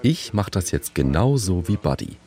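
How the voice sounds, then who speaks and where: A man voicing a translation over an interview in a lively storytelling manner. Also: low voice, moderate pace.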